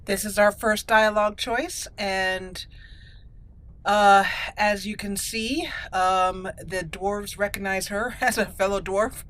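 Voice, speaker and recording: A woman's voice: medium pitch, steady tone, takes it slowly, fairly bright tone. A woman hosting a let's play, chatting casually and playfully as she comments on the gameplay. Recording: faint background noise.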